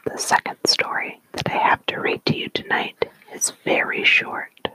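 A storyteller reading tales aloud in a soft, deliberate whisper, in an ASMR style. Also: no room sound, poor audio. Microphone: close mic.

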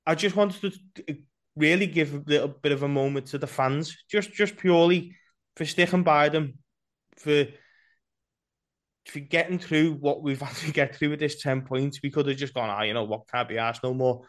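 Higher-voiced Scouse man hosting fan chat. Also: moderate pace. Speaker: football podcast host.

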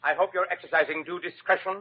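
A fussy, fretful official. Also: male voice, sits high, steady tone, fast talker, breathy edge. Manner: fretful, prim. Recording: dull old radio recording with loud hiss.

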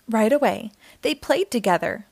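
Female children's storytime reader: high voice, lively swooping intonation, expressive delivery, close dry sound. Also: normal speed, gravelly, dry recording. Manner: expressive, theatrical. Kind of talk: children's picture book read-aloud.

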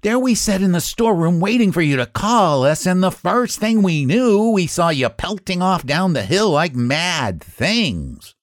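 A man's voice: medium pitch, sing-song, unhurried, mellow, no echo, clean studio sound. A very stout, huffy cabbage from a children's tale, acted by a man, chatters and exclaims breathlessly. The voice swoops up and down with lots of animation. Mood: breathless indignation.

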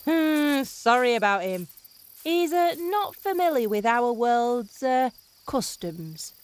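An actress playing a brusque, self-interested heiress in a fantasy audio drama, offhand and dry. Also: female voice, fairly high pitch, very animated, takes it slowly. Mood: dry, casual.

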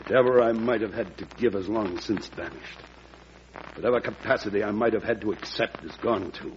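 A man's voice: fairly low pitch, fairly quick, muffled recording, close to an everyday voice. An actor playing a proud, wounded statesman accused of treason, theatrical and impassioned, in a classic radio drama. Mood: bitter, subdued. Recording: noisy, band-limited old radio recording.

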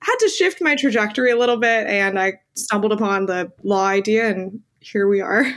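A woman's voice: medium pitch, moderate pace, a bit bright. A woman in her twenties whose first language is Armenian, answering interview questions candidly as a young lawyer. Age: 20s. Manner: candid, earnest, with fillers. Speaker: guest lawyer.

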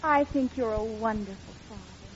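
An actress playing a gentle, reassuring young daughter in a 1940s radio comedy. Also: female voice, medium pitch, normal speed, natural voice. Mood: soothing. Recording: dull, noisy old radio recording.

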